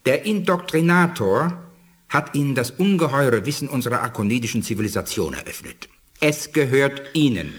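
Husky, dignified alien scientist, sweeping intonation. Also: male voice, medium pitch, lively intonation, fairly bright tone. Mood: instructive. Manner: measured, formal, didactic delivery. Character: dignified alien scientist of a starfaring race, shrewd and authoritative. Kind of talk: 1980s German sci-fi radio play acting.

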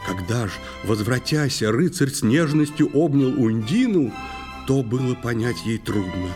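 A man's voice: medium pitch, lively intonation, normal speed, a little mellow, soft background music. A man narrating a fairy tale in verse for a scripted audio play.

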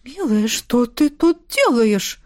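A woman voicing a pompous, easily wounded baron in an audiobook, with drawn-out theatrical exclamations. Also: on the high side, sing-song, no room sound, studio quality. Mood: puzzled, calling. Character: pompous round-headed baron man, bewildered, wounded and indignant.

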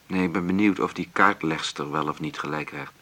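An actor playing a callous, flippant son on a phone call in a radio drama, offhand and blunt. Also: male voice, rumbling low, a little fast, sharp tone, full character voice. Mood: cold. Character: callous, flippant son who wants money.

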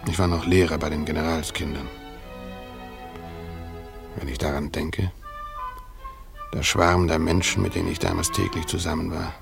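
Deep-voiced brooding storyteller. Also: male voice, little pitch movement, creaky, over music. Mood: brooding, reflective. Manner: reflective, halting, self-questioning. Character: brooding, lovesick former tutor recalling his past. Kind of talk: radio drama narration.

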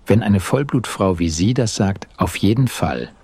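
A man playing a stuffy, gallant and easily irritated gentleman in an audio drama, with old-fashioned courteous grumbling. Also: fairly low pitch, lively intonation, moderate pace, husky, no echo.

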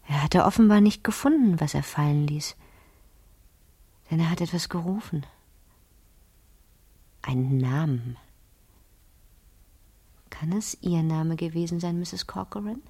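An actress playing a calculating fifteen-year-old girl in a German radio crime drama, speaking deliberately and sometimes hushed. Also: lowish voice, husky, exaggerated voice. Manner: slow, deliberate, hushed at times.

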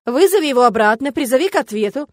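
Audiobook role: female reader as bossy, loyal young witch friend, high-pitched, indignant, punchy delivery. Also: animated, fairly quick, dry recording, clean studio sound. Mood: militant. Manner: emphatic, combative. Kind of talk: dramatised audiobook.

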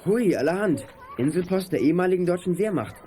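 An actor playing an earnest, know-it-all teenage schoolboy in a youth audio drama, explaining things matter-of-factly. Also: male voice, sits high, expressive, fast, mellow, slight background noise. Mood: keen. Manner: matter-of-fact, lecturing.

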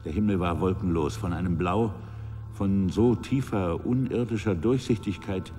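An actor playing a somber sea captain on trial in a German radio drama, recounting events in stark, measured phrases. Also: male voice, sits low, mellow, lightly acted. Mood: grave, measured. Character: grave male sea captain on trial, recounting his shipwreck. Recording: long reverb, faint music behind.

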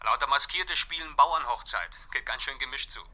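An actor playing a brisk, keen police inspector in a 1960s radio play, reporting in clipped, eager phrases. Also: male voice, mid-range voice, bright, forceful tone. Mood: keen, urgent.

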